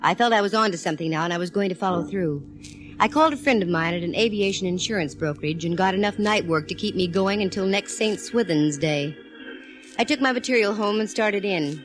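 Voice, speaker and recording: An actress playing a wisecracking private detective who also narrates, in a brisk 1940s radio drama. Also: female voice, mid-range voice, soft background music, close to an everyday voice.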